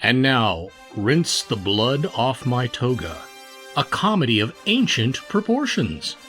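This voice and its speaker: A man's voice: medium pitch, very animated, slow, loud music behind the voice. A man announcing in old-time radio style, presenting with showy enthusiasm.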